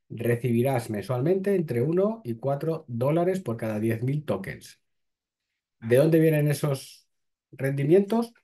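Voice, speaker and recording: A man's voice: mid-range voice, dark tone, husky. A man, hosting and reading crypto news aloud, then breaking off with short blunt remarks. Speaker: host.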